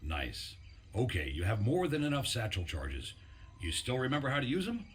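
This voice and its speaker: An actor playing a resistance fighter in a video game cutscene, talking casually in short remarks to a comrade. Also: male voice, medium pitch, wildly swooping pitch, moderate pace, a bit bright. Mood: casual, inquisitive.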